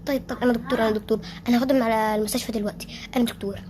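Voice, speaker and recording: A young performer voicing a male doctor who speaks quickly and evasively in an Egyptian accent, with a voice pushed lower than the performer's own. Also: fairly even intonation, background noise, natural voice. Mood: brisk. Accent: Egyptian Arabic. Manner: rushed, evasive. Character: brisk male doctor at a rescue.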